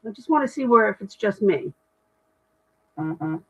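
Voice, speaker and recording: A middle-aged woman with a deep voice, chatting candidly and self-deprecatingly on a livestream while reading comments. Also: lively intonation, unhurried, fairly bright tone, breathy edge, faint music behind. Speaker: livestream host.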